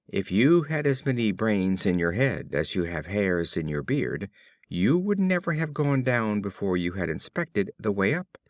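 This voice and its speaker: A man's voice: mid-range voice, no echo, studio quality. Man doing a smug, swooping storybook fox. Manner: glib, lecturing, emphatic. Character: sly, mocking male fox, a smug schemer.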